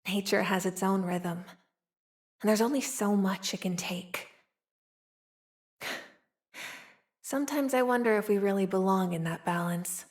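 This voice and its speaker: A woman's voice: medium pitch, unhurried, long pauses, natural voice. A young woman voicing a playful, streetwise, wry frontier woman with a tail in a video game. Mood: wistful, world-weary. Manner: teasing, sly, drawling, sighing between wry remarks. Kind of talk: video game character voice lines.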